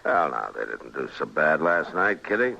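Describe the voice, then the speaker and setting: A man's voice: rumbling low, very animated, normal speed, vocal fry, pushed and bright, heavily acted. An actor playing a stern, weary frontier lawman in a radio Western, speaking tersely and dryly with American authority. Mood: dry, relaxed. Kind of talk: old-time radio Western acting. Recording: muffled old radio recording, background bustle.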